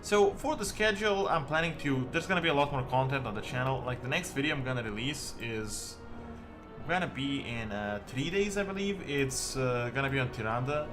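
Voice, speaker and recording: A man from Serbia, chatting casually to viewers as a game streamer in a halting, rambling way peppered with "like". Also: medium pitch, expressive. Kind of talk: casual livestream chat with viewers. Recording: music behind the voice. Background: music.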